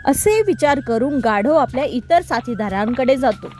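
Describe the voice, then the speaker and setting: Female narrator for children's moral tales, high-pitched voice, scripted storytelling over light music. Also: expressive, normal speed, darkish tone.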